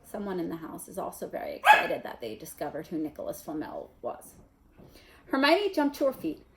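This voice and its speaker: A woman reading a children's novel aloud in an expressive narrating style. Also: on the low side, moderate pace, noisy background. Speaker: storybook reader.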